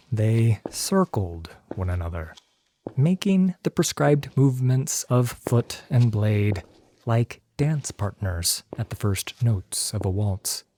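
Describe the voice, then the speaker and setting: A man reads a dramatic scripted story as narrator. His voice is dark and slow, with wide swings of pitch and deliberate phrasing. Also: no room sound.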